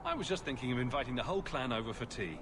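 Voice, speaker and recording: A man playing a sardonic hero, wildly swooping pitch. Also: sing-song, rapid-fire, background noise, close to an everyday voice. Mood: sarcastic. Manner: sarcastic, wry. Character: sardonic male adventurer hero in a fantasy game. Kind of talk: video game voice acting.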